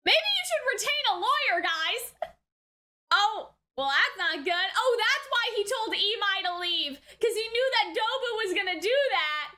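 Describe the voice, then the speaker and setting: Casting: female reaction host, very high bright voice, unhurried pace, informal and expressive. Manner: informal, slangy, many short exclamations and repeated words; emotionally expressive, reacts out loud. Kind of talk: casual, unscripted reaction commentary to an anime episode.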